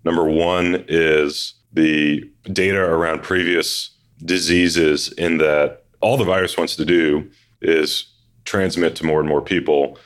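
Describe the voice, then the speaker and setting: A very deep-voiced man hosts a finance talk show with a hoarse throat. He lays out his reasoning in a steady, explanatory way. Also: slow pace. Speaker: podcast host. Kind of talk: conversational finance discussion.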